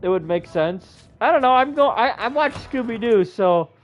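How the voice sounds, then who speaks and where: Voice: medium pitch, expressive, normal speed. A game player giving casual, slangy, laid-back playthrough commentary on a lo-fi mic. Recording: dull lo-fi mic, faint music.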